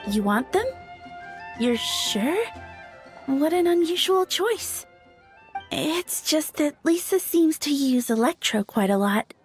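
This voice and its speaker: Young florist girl, high voice, chirpy, polite, earnest, game dialogue, faint music. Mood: cautioning. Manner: chirpy, polite, slightly shy. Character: earnest, eager young flower shop assistant girl. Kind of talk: video game character acting.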